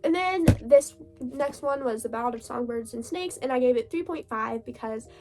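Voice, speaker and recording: Gushing young woman with a hoarse cold voice. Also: a little high, expressive, normal speed, a little mellow, soft background music. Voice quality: gravelly. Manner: gushing, chatty, laughs often. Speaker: book vlogger. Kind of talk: casual reading wrap-up chat.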